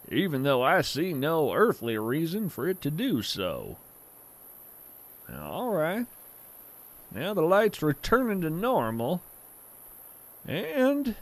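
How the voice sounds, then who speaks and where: A man's voice: high-pitched, heavily acted. A man playing a folksy, awestruck naturalist in a fiction podcast, musing poetically by a campfire as he tells his story. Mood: hushed delight. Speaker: podcast host. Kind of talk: scripted audio fiction monologue.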